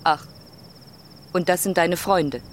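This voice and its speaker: A woman's voice: fairly low pitch, slow pace, a little hiss or hum. A woman playing a frank stranger in a radio drama, asking direct, probing questions. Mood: calm, probing.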